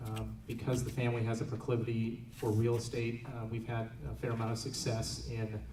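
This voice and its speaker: Halting man on a panel, distant and echoey. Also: medium pitch, completely flat delivery, dark tone. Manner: halting, many fillers and restarts. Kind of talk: panel discussion. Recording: echoey room, distant mic, noisy.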